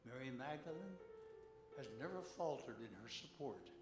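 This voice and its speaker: A man's voice: mid-range voice, lively intonation, moderate pace. A man delivering a scripted dramatic monologue in a church service. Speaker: dramatic reader. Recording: echoey church hall with music. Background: instrumental music.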